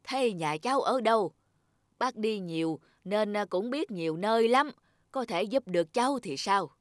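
A woman voicing a caring older cat in a children's cartoon, gently advising the young. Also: medium pitch, lively intonation, normal speed, no room sound, studio quality, lightly acted. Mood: kindly, concerned. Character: kindly older cat, caring auntly or uncle-like helper. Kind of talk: dubbed children's cartoon dialogue.

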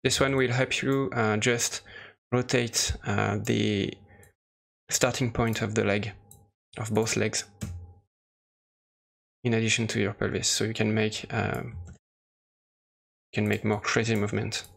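Gravelly-voiced man giving a halting software tutorial. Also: lowish voice, little pitch movement. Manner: hesitant, halting explanation. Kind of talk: unscripted screen-recorded tutorial narration.

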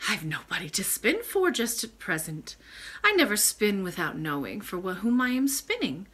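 A woman voicing a kind, wise, ancient grandmother in a storybook, gentle, measured and formal. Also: medium pitch, sing-song, slightly rough. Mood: calm.

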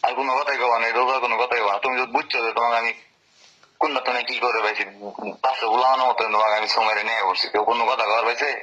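Fast, domineering man on a muffled phone call. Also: mid-range voice, steady tone, sharp tone. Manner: domineering, insistent, repetitive. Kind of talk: informal phone conversation. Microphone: telephone.

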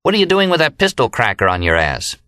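A man's voice: mid-range voice, huge pitch swings, quick pace, sharp tone, smooth, dry recording, studio quality, pitched above the performer's own voice. Man voicing a blunt, rowdy American barracks worker. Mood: challenging.

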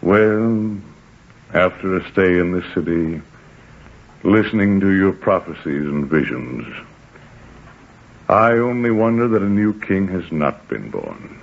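An actor playing a world-weary, mildly mocking Roman governor in a measured, condescending 1940s radio drama style. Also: male voice, deep voice, animated, vocal fry, exaggerated voice. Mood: wry condescension. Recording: tinny 1940s radio broadcast.

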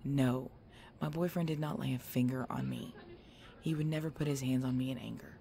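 A woman playing a tough, weary, injured biker girlfriend in an ASMR roleplay audio drama, speaking haltingly and protective of her boyfriend. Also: rumbling low, steady tone, gravelly, dark tone. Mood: defensive, reluctant.

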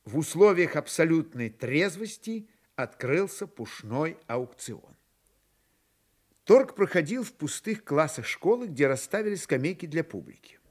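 A man narrating a novel for radio in a measured, expressive storytelling manner. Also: on the high side. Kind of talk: dramatised literary narration for radio.

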